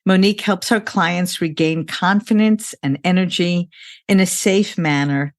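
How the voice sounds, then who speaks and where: A woman's voice: lowish voice, a little slow. A woman hosting an interview podcast, friendly and encouraging toward her guest. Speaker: podcast host, financial planner.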